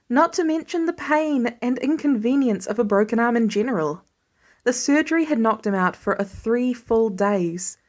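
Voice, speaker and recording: Higher-pitched woman reading a story. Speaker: fan fiction podfic reader. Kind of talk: scripted story narration.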